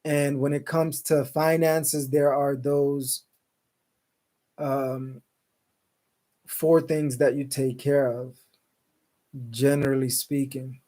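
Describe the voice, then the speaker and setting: A man's voice: medium pitch, little pitch movement, takes it slowly, long pauses, dark tone. A man hosting a live call-in show, talking loosely with many fillers and restarts and sprinkling in Islamic phrases as he reads viewer comments. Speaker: show host. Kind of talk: casual live-stream chat reading comments. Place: Salt Lake City, Utah.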